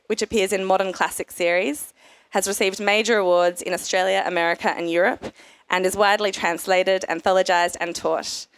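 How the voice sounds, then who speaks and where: Woman moderator with a smooth, dry voice. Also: mid-range voice, no echo. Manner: measured, with frequent fillers. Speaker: panel moderator. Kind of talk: introducing guests and asking questions. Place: festival stage.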